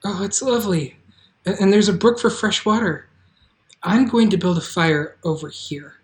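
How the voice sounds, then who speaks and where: A woman's voice: mid-range voice, normal speed, close to an everyday voice. A woman playing a kind, practical young farm girl in a live fantasy table read, earnest and reassuring. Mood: concerned.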